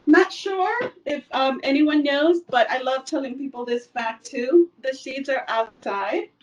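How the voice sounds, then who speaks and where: A woman's voice: sits high, measured pace, distant mic, low-quality recording. A woman from El Salvador giving a casual cooking demonstration in her home kitchen, chatty with frequent fillers. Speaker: cooking instructor.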